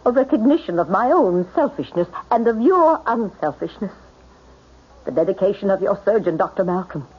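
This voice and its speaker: An actress playing a hard, proud old society matron, blunt and imperious, in 1970s radio drama style. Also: female voice, mid-range voice, expressive, moderate pace, breathy edge. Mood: frank, softened. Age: older. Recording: dull, band-limited radio drama recording.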